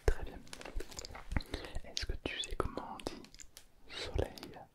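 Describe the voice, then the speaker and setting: A man whispering a playful, self-mocking ASMR roleplay as a pretend Mandarin teacher, close to the mic. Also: slow pace.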